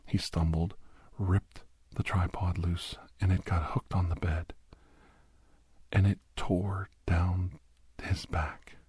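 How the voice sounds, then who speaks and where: A man playing a captive doctor who grimly recounts his escape, half-whispered in a breathy ASMR horror narration with halting, deliberate phrases. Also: low voice, level tone, a little mellow, dry recording, exaggerated voice. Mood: grim suspense. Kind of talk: first-person scripted horror narration, ASMR.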